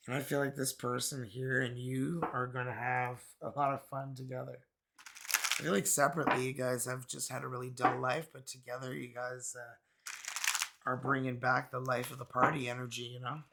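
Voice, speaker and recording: A hoarse man from Canada with a raspy voice, chatting casually through a tarot card reading with many fillers. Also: mid-range voice, a bit bright, a little hiss or hum. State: hoarse, recovering from illness. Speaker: tarot reader.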